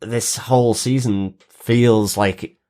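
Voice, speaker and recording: A man's voice: on the low side, steady tone, slow, mellow. A man hosting a podcast, giving recaps and opinions in a review show. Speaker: podcast host. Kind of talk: scripted recap, then casual review chat.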